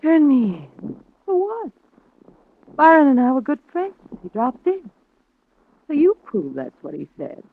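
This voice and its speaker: An actress playing a defiant, evasive crime suspect, curt, stubborn and sardonic in 1950s radio drama style. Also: female voice, sits high, very animated, measured pace, long pauses, exaggerated voice. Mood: scornful. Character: defiant, evasive woman suspected of blackmail, hard-boiled. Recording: tinny old radio recording, noisy.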